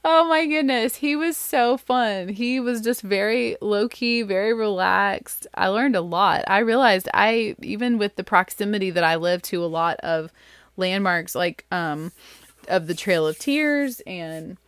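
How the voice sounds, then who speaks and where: A woman's voice: mid-range voice, a little slow, clean tone, no echo, studio quality. A woman casually recounting an author event in a chatty, rambling way with many fillers.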